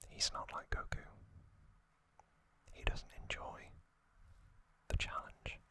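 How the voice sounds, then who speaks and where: Man whispering very slowly, ASMR style. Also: bright, forceful tone. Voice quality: breathy, unvoiced whisper. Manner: slow, deliberate delivery in short broken phrases. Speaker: host of an ASMR channel.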